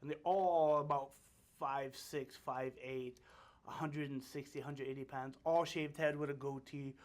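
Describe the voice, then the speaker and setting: A man guesting on an interview, telling a story in a relaxed way and acting out the people in it. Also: mid-range voice, unhurried. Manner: animated, acts out the other people in his stories; relaxed and laid-back, laughs often. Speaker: guest, film actor.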